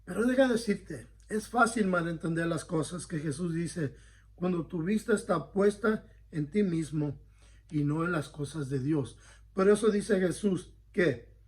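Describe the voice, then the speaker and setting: A man with a high-pitched voice teaches a Bible study as a pastor. He speaks earnestly and conversationally, often pausing to check that his listeners follow. He corrects himself mid-phrase as he goes. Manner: frequent self-corrections.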